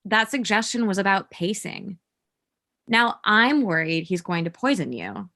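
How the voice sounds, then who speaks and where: A woman's voice: medium pitch, lively intonation, measured pace, pushed and bright, no room sound, studio quality. A woman playing a manipulative girlfriend, blunt and dismissive, in a comedy table read. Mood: indignant.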